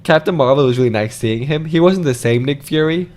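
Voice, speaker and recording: A young man, co-hosting a casual movie review in a jokey, excitable way with plenty of rhetorical questions. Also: a little high, brisk. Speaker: film review co-host. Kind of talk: casual unscripted movie chat.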